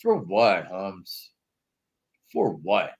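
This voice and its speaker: A man's voice: low-pitched, huge pitch swings, extremely slow, creaky, breathy edge. A man with a stuffy nose from allergies, hosting a casual wrestling livestream from a hotel room in laid-back slangy talk. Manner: laid-back slangy talk, calls viewers dog and Holmes.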